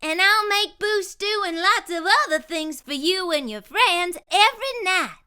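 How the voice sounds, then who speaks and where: A woman's voice: very high voice, expressive, measured pace, pushed and bright, pitched above the performer's own voice, exaggerated voice. A woman voicing a bold, unflappable backwoods cook who speaks in plain, folksy country talk. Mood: coaxing.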